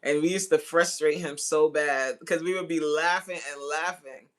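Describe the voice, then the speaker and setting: A woman's voice: low voice, fairly even intonation, normal speed. A woman in her forties chatting casually with live viewers as host, slangy, teasing and giggly in manner. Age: 40s. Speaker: livestream host. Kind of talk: casual chat with live viewers reading comments.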